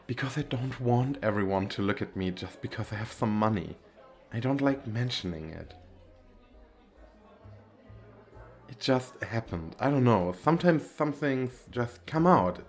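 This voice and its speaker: A man's voice: medium pitch, animated, moderate pace, close to an everyday voice. A man acting a shy, nervous, wealthy businessman on a speed date in an ASMR roleplay, talking in a hesitant, rambling, self-deprecating way. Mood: nervous, candid. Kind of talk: ASMR roleplay, intimate flirty chat.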